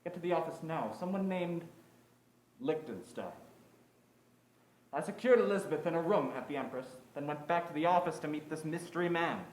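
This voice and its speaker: A man's voice: a little high, normal speed, darkish tone, close to an everyday voice. A man playing a world-weary private detective on stage, with terse, slangy noir delivery. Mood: matter-of-fact. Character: hard-boiled male private detective, world-weary, lovestruck, defiant. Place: indoor stage play performance. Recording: roomy stage recording with faint noise.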